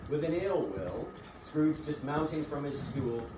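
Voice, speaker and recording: A man's voice: fairly high pitch, animated, a little slow. A man narrating a classic tale as part of a dramatic theatrical reading. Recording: echoey room, distant mic, clear background noise.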